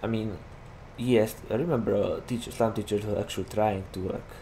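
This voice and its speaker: Lowish Slovak-accented man, chatty gamer. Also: steady tone, normal speed, dark tone, rough recording. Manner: rambling, chatty, heavy use of "like" fillers. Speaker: gaming YouTuber. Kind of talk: casual gameplay commentary. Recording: game vehicle noise behind voice.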